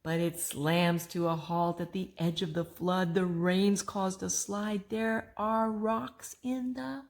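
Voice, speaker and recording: A woman reading a rhyming picture book aloud to children, expressively, pausing for a child to join in. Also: lowish voice. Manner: expressive, prompting a child to fill in rhymes. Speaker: story reader and co-host.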